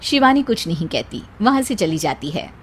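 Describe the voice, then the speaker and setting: A woman's voice: fairly low pitch, big pitch swings, moderate pace, breathy edge. A woman delivering scripted storytelling narration for cartoon family moral stories.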